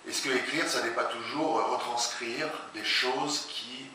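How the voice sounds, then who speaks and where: A man, a translator and writer, being interviewed before an audience, speaking haltingly with many restarts. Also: medium pitch, fairly even intonation, pushed and bright. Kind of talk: public talk interview. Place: large echoey hall, before an audience. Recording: very echoey hall, far from mic, hiss.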